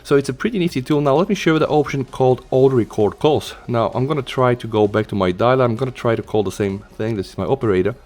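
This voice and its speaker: A man's voice: mid-range voice, fast talker, hardly any pauses, darkish tone. A man narrating a step-by-step tech tutorial in a casual, instructional way, stressing the key points. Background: background music.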